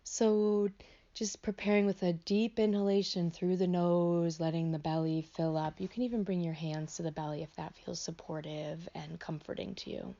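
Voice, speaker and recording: A woman teaching a guided meditation in an unscripted, gentle way, offering instructions as tentative invitations. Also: lowish voice, fairly even intonation, moderate pace, dull audio. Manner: gentle, hesitant, invitational phrasing.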